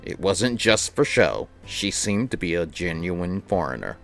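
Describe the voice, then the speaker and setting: A woman reads a visual novel aloud on a livestream, taking her time. Her pitch moves around a lot as she slips into amused, exasperated reactions. Game music plays faintly behind her. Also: very animated. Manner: amused, exasperated asides between deliberate reading. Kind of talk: livestream playthrough, reading and reacting casually.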